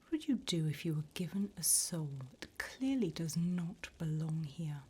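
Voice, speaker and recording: A woman playing a wickedly amused, businesslike female demon interviewer in a breathy, whispered ASMR roleplay. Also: low-pitched, animated, moderate pace, mellow, full character voice. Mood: businesslike, teasing.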